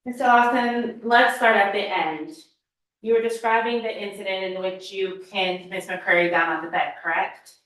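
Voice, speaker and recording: A woman's voice: medium pitch, steady tone, moderate pace, fairly bright tone. Woman cross-examining in a very echoey courtroom. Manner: pointed, leading questions. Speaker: prosecutor.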